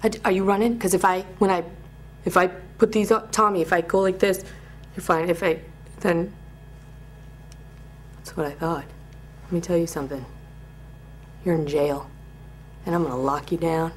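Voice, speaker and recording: A young woman playing a tough, rambling parole officer in a comedy monologue, menacing and halting. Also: fairly low pitch, steady tone, a little hiss or hum, lightly acted. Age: young adult.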